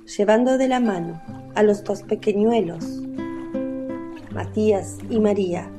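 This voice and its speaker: A woman's voice: medium pitch, a little slow, music in the background. A woman narrating a literary radio play from a script.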